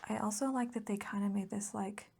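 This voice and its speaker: Soft, breathy woman whispering ASMR with creaky edges. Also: mid-range voice, fairly even intonation, a little fast. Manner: casual, rambling, gently enthusiastic. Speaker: ASMR video host. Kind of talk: soft-spoken ASMR chat.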